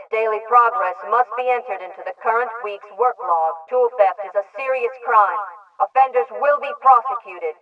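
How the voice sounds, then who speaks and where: A distant, phone-thin loudspeaker carries a female government announcer from a sci-fi game. She is measured, formal and impersonal. Her delivery is orderly and authoritative, like scripted public notices. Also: fairly high pitch. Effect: loudspeaker radio filter. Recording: tinny, band-limited, distant loudspeaker sound.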